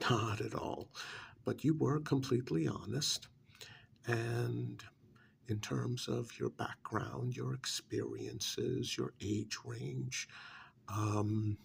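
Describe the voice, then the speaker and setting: A man playing a tactful, bureaucratic vampire clan familiar in an ASMR role-play, speaking softly and hesitantly with measured pauses and a breathy, gravelly voice. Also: mid-range voice, slight background noise, exaggerated voice. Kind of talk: ASMR role-play, whispery soft speech.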